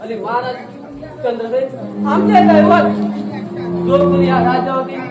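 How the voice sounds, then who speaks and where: A man's voice: very high voice, measured pace, heavy background noise. A man playing a fierce, loyal prime minister of a kingdom, declaiming in a folk stage drama. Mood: fierce pride. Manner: declamatory, vowing. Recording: echoey hall, distant mic, loud crowd, music.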